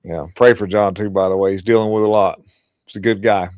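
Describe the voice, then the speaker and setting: A man's voice: lowish voice, fairly even intonation, brisk, darkish tone, smooth, no echo, studio quality. A man hosting a casual political livestream, talking bluntly and crudely with lots of emphasis. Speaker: livestream host.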